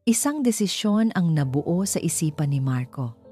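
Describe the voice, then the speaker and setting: Low-voiced woman narrating, mellow tone. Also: sing-song, moderate pace, slightly rough. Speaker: story narrator. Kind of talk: scripted dramatic narration of a Tagalog love story. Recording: music bed under the voice. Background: background music.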